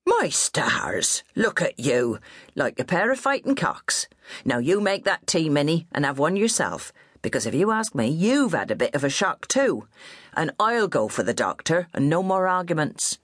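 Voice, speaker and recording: A practical old countryman speaks firmly and evenly to calm two quarrelling women, as a woman narrator reads him. The voice is husky and close. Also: deep voice, normal speed, dry recording, pitched above the performer's own voice.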